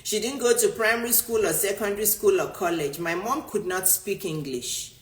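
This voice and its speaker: A woman's voice: mid-range voice, moderate pace. Emphatic Yoruba-speaking woman coach, roomy sound. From Nigeria. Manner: emphatic, repeats points for stress. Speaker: business coach. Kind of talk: motivational talk answering a viewer. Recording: roomy echo.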